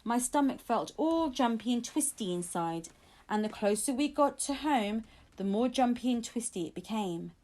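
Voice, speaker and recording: A woman narrates a children's audiobook. Her voice is a little higher than average, and she reads from a script in storytelling style. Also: animated, normal speed, dark tone. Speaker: audiobook reader.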